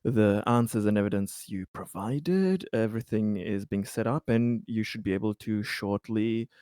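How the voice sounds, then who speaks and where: Male tabletop roleplayer, lowish voice, repeats himself conversationally, very dry recording. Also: mellow, no echo. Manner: halting, repeats words as he goes. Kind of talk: casual tabletop roleplaying talk.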